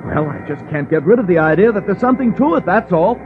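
An actor playing an earnest, determined early radio experimenter in 1940s radio drama style. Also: male voice, sits high, animated, fast talker, very noisy. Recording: tinny 1940s radio broadcast, faint music.

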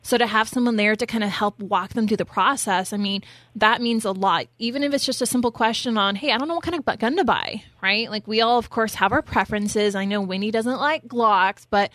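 A woman's voice: fairly high pitch, fairly quick, fairly bright tone, dry recording. A woman co-hosting a casual interview, upbeat and often tagging her sentences with "right?". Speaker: co-host.

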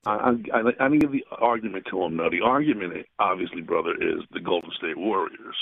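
A middle-aged man calls in over a tinny phone line, his voice very deep, gravelly and husky. He talks fast and loud. He is friendly and keeps calling the host brother. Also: no room sound. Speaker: caller.

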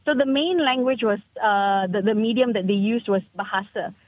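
A woman in her thirties calling in to a radio show, speaking in a halting, thoughtful way as she searches for her words. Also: mid-range voice, no echo. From Malaysia. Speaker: caller. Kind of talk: call-in radio chat. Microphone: phone. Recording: tinny phone line.

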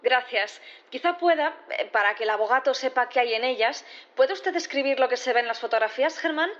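A formal female prosecutor puts her questions in a courtroom audio drama. She is courteous, composed and methodical, with a fairly high voice and a slightly dull recording. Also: fairly quick, fairly bright tone.

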